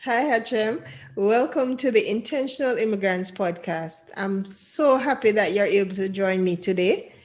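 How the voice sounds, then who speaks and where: A woman hosting a podcast, reading a scripted intro and then welcoming and questioning her guest. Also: medium pitch. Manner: slow, deliberate reading, enthusiastic welcomes. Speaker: podcast host.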